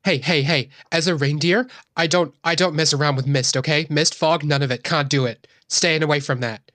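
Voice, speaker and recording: A man's voice: on the high side, fairly even intonation, normal speed, fairly bright tone, no echo, close to an everyday voice. A man playing a wry, jittery reindeer centaur cleric in a tabletop game, talking hesitantly with dry suspicion. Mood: wary. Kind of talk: improvised tabletop role-play.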